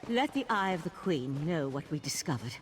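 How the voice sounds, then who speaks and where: An actor playing a centuries-old, dutiful scholarly ally in a video game, speaking in a wry, earnest way. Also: male voice, fairly high pitch, sing-song, normal speed. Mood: wry, urgent. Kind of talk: video game character dialogue. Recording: clear background noise.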